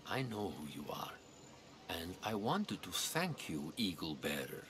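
A man's voice: deep voice, very animated, measured pace. An actor playing a troubled, scheming ancient Greek townsman in a video game, with an earnest, pleading manner. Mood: grateful. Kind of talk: video game dialogue acting.

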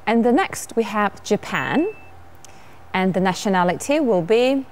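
Lively young woman with a Georgian accent. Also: medium pitch, unhurried, a little hiss or hum. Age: young adult. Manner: cheerful, laughs often. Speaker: English teacher co-host. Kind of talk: bilingual televised lesson. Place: TV studio set.

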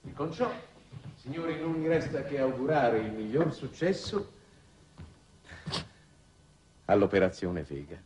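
An actor playing a shrewd, weary middle-aged statesman in a 1960s Italian television drama, speaking in formal, measured phrases. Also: mid-range voice, expressive, a little mellow, close to an everyday voice. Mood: grave, solemn. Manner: measured, formal, deliberate oratory. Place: television studio set. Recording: noisy old 1960s television soundtrack.

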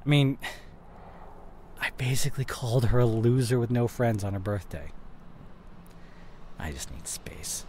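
A young man playing an anxious, regretful boyfriend in an intimate audio roleplay, speaking haltingly with sighs and whispered words. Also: medium pitch, a little mellow, a little hiss or hum, close to an everyday voice. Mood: panicked regret. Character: regretful, anxious boyfriend tenderly consoling his girlfriend.

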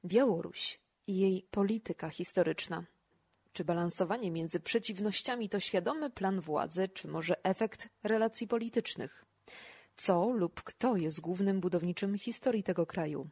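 A woman's voice: mid-range voice, normal speed, darkish tone, breathy edge, no echo. A woman hosting a podcast, reading scripted narration. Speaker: podcast host.

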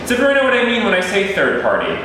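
A man's voice: high voice, wildly swooping pitch, very fast. A man, giving a conversational seminar lecture as a guest speaker to a class. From United States. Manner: conversational, inviting questions. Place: classroom with audience. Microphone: far room camera. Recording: echoey room from far off with hiss.

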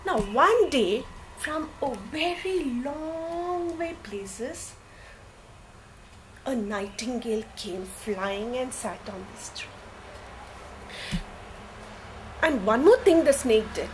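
A middle-aged woman telling a story to children in a large hall, animated and asking them questions. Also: high-pitched, very slow, long pauses, background noise.

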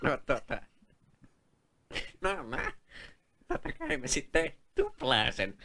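A man voicing a hesitant but stubborn seller in a comic amateur dub, speaking in short, halting phrases. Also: mid-range voice, wildly swooping pitch, bright, forceful tone, a little hiss or hum, full character voice. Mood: reluctant. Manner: halting, terse.

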